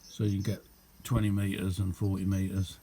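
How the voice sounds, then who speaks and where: A man's voice: low voice, monotone, brisk, warm tone, a little hiss or hum. A man giving a casual, unscripted show-and-tell as a hobbyist, rambling along in an understated way. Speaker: hobbyist demonstrating a homemade radio.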